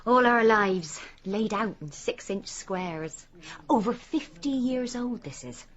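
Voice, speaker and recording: An actress playing an anxious, placating village wife in a radio drama, apologetic and brightly keeping up appearances. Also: female voice, a little high, expressive, takes it slowly, dull audio. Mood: proud, placating.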